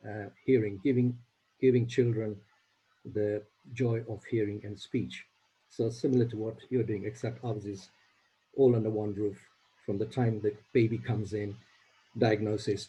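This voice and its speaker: A man from Uganda, a native Swahili speaker, speaking as a charity trustee on a video call, halting and repeating words as he goes. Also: fairly low pitch, mellow, slightly rough, rough recording. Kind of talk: informal remarks on a video call meeting.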